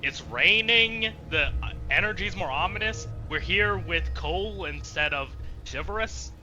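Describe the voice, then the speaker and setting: A man casually commenting on a game he plays, chatty and excitable, joking and swearing at the characters. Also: high voice, unhurried, highs cut off, soft background music. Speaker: let's play host.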